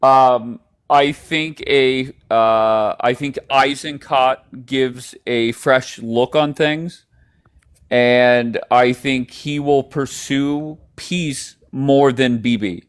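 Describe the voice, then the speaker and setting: A man's voice: medium pitch, fairly even intonation, smooth. A man hosting a heated live online debate, cutting in and steering the talk. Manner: interrupting, rapid back-and-forth. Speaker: live stream debate host.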